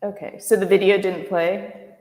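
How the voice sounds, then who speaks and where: A woman giving an informal talk as an artist, speaking thoughtfully and haltingly with trailing pauses. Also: medium pitch, flat delivery, darkish tone. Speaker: visual artist, guest speaker. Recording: echoey reverberant room.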